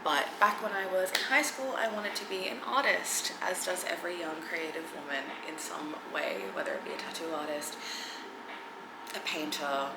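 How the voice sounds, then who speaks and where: Creaky-voiced Australian woman in her thirties. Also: fairly low pitch, moderate pace, echoey room, very noisy. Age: 30s. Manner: hesitant, many ums, soft giggles, drops to near whisper at times. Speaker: content creator. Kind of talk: casual get-to-know-me vlog. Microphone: camera mic.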